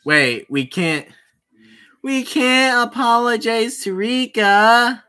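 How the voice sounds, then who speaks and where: A streamer talking to viewers while working through a visual novel, in a very slow, drawn-out way. The voice is bright and clear. The talk rambles, doubles back on itself and breaks into quick apologies. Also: mid-range voice, animated. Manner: chatty, rambling, with many restarts and apologies. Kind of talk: casual live-stream chat while playing a visual novel.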